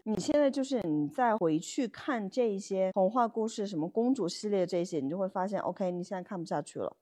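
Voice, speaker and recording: Female podcast co-host, mid-pitched, conversational storyteller who tosses in colloquial asides and rhetorical questions. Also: lively intonation, a little fast, dark tone.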